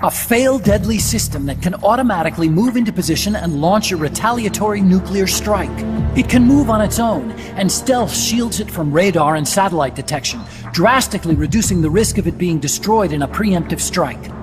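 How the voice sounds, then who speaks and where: An eager, talkative man of science in a video game cutscene, with a fairly high-pitched voice. He lectures emphatically about his machines. His words ring through a long reverberant space over prominent music. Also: animated, fairly quick. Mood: animated explaining. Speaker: weapons engineer character. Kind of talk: dramatic game cutscene dialogue. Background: prominent background music.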